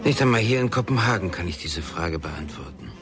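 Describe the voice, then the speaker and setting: An actor playing a reserved, melancholy investigator in a radio drama, measured and understated. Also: male voice, low-pitched, lively intonation, over music. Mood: reflective. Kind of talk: German radio drama acting.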